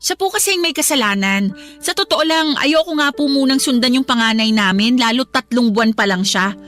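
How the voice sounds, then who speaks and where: Young mom in a radio drama, slightly high voice, meek, polite, kinda defensive and pleading. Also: female voice, expressive, fairly quick, a bit bright. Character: meek, defensive young mother, a daughter-in-law. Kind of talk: Tagalog radio drama acting. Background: background music.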